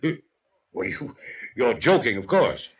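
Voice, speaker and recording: A formal mayor in a vintage radio play. He is earnest and a little pompous, with an animated pitch, heard slightly off-mic in a roomy old recording. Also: slow, gravelly, distant mic, exaggerated voice. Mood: uneasy, puzzled. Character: formal, courteous male town mayor, uneasy official. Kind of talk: mid-century radio drama acting.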